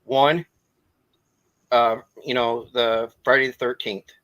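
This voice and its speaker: A man in his forties talks loosely and off the cuff, joking as co-host of a casual podcast. His voice is bright and sharp. It is recorded dry and close. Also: mid-range voice, steady tone, takes it slowly, no room sound. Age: 40s.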